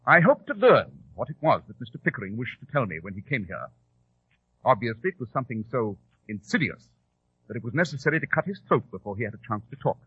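A lofty english detective, very husky, swoopy theatrical delivery, dull old 1940s radio sound. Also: male voice, medium pitch, sing-song, fast talker, dry recording. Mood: purposeful. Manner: precise, lofty, theatrical diction. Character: shrewd, imperious English gentleman detective, coolly sardonic. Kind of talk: 1940s radio drama acting.

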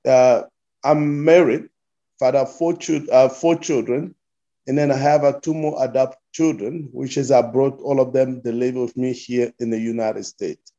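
A man in his fifties with a Congolese accent, a pastor answering interview questions haltingly and repeating his phrases as he goes. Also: mid-range voice, fairly even intonation, mellow, muffled recording. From Democratic Republic of Congo. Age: 50s. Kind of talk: interview answers, recounting life story.

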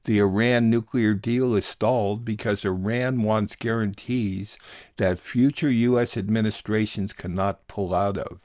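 A man's voice: fairly low pitch, steady tone, measured pace, a little mellow, dry recording, studio quality. A man hosting a radio news programme, reading scripted summaries and source credits. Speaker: radio news show host.

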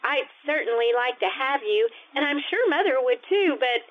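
A woman's voice: high-pitched, lively intonation, moderate pace, narrow, tinny audio. A woman playing a sweet, earnest teenage schoolgirl in an old-time radio comedy, polite and naive. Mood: puzzled, hesitant. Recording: thin, band-limited radio-style recording.

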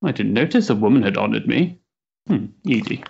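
An actor playing a restless, sensitive young Victorian gentleman in a stage play, hesitant and politely mannered. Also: lowish voice, animated, fairly quick, vocal fry, dull audio, slight background noise. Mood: bitter, mocking. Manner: hesitant, polite, mannered upper-class diction. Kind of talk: period stage-play acting.

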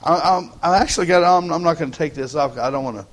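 A man's voice: fairly high pitch, fast. A man with a Texan accent, a pastor preaching a casual, joking sermon in church with folksy asides and stumbling restarts. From Texas. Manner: joking, folksy, repeats words as he starts phrases. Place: church sanctuary.

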